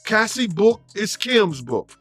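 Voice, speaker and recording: A man's voice: high-pitched, very animated, slow pace, fairly bright tone, no room sound. Man talking casually over faint music. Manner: loose, conversational, repeats himself. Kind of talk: casual talk.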